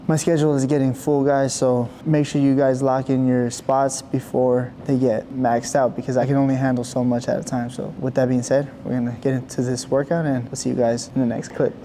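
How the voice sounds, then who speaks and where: A man in his thirties with a hoarse, raspy voice, talking earnestly in a vlog about his week and his drive. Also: medium pitch, warm tone, a little hiss or hum. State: hoarse from being sick. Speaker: vlogger and business coach. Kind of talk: casual vlog intro, then motivational talk. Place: gym and outside at night.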